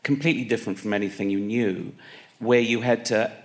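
A man's voice: lowish voice, brisk. A man interviewing a guest, asking curious, probing questions.

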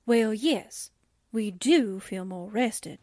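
A woman's voice: medium pitch, slow, a little mellow, dry recording, natural voice. A woman playing a plucky but jittery newspaperwoman sidekick, slangy and wisecracking, in 1940s radio drama style. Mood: nervous bluster. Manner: wisecracking, blustering, folksy.

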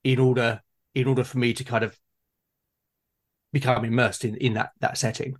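A man's voice: medium pitch, flat delivery, moderate pace. A man in a casual discussion about gaming, speaking hesitantly and repeating words as he thinks. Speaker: tabletop gaming podcast speaker.

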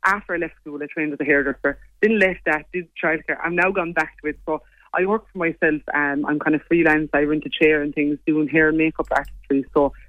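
A young Irish woman with a low voice, chatting quickly as a singer guest in a casual interview. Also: fairly even intonation, no echo, narrow, tinny audio. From Ireland. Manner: quick, chatty, lots of "like" and "you know".

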